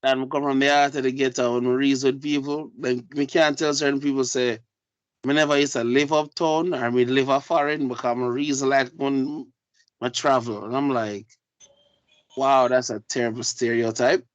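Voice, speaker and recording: A man's voice: medium pitch, steady tone, normal speed, no room sound. Jamaican man telling a street story. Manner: storytelling, heavy patois, repeats phrases. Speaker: podcast co-host. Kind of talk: casual chat.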